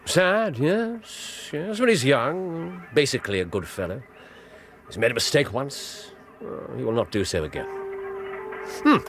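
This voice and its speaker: A man playing a brilliant, courteous English gentleman detective in a radio drama, precise, theatrical and deliberate, with a husky voice. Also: medium pitch, lively intonation, a little hiss or hum. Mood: reflective, satisfied. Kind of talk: British radio drama acting.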